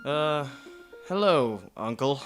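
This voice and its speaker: A man's voice: on the high side, expressive, takes it slowly, fairly bright tone, faint music behind. A man playing a sullen, cocky, brawny teenage boy in a comic audio drama, huffy and dramatic. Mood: awkward. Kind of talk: deliberately overdone comic audio drama acting.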